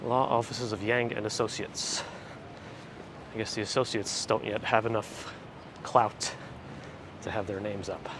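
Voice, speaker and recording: A man hosting a live walking stream, chatting casually and reading viewer comments with dry asides; his voice is a little phlegmy and gravelly. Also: on the low side, steady tone, unhurried, long pauses. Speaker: livestream host. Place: walking outdoors on city streets. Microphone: handheld gimbal camera rig with recorder. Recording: street noise behind the voice. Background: traffic and city ambience.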